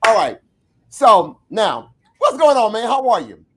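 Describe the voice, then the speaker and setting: A man hosting an online alumni show, chatting casually and shouting with excitement as he brings on guests, speaking over a video call. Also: sits high, a little slow, a little hiss or hum. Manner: excitable, shouts and whoops when introducing guests. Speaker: show host. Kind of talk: hype introduction and casual interview chat.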